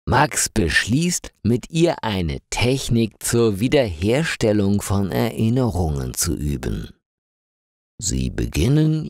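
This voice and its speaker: Male narrator for a learner's story, low voice, unhurried and carefully enunciated, dry close sound. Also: dry recording. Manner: slow, clearly articulated reading with rising and falling emphasis.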